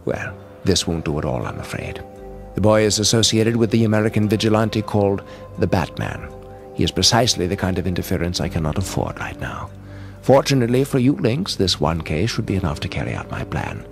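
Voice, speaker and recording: A man's voice: low voice, big pitch swings, fairly quick, creaky, soft background music, full character voice. A man playing a menacing, urbane crime lord in a comic-book audio drama, speaking with suave, mock-polite formality. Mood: suave menace.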